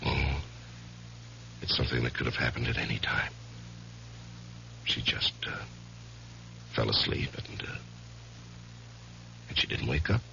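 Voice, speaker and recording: An actor playing a troubled, ambitious lawyer in his forties, measured and hesitant, in an old-time radio mystery. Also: a bass voice, steady tone, vocal fry, bright, forceful tone, a little hiss or hum, exaggerated voice. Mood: subdued, hesitant. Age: 40s. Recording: dull vintage radio recording.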